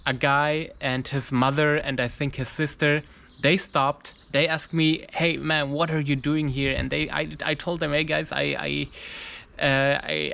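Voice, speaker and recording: A man's voice: on the high side, gravelly, a bit bright, smooth. A man, telling a travel story in casual English, searching for words with frequent restarts and fillers. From Germany. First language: German. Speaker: mountaineer vlogger.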